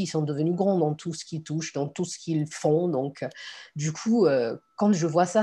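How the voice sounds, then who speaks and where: Husky-voiced woman coaching online. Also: sits low, mellow. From Congo and France. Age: adult. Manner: encouraging, addresses the learner directly. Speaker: online course instructor and coach. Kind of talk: informal online lesson to students.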